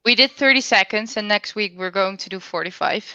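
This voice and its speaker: A woman with a bright voice chats as a guest over a video call. She giggles often and talks in a loose, chatty way. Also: mid-range voice, fairly quick, dull audio. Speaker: guest, support team member. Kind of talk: casual chat on a video call.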